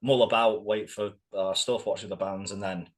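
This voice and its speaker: A man's voice: lowish voice, fairly even intonation, moderate pace. A man chatting casually as an interviewed band member, blunt, jokey and sweary. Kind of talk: casual chat.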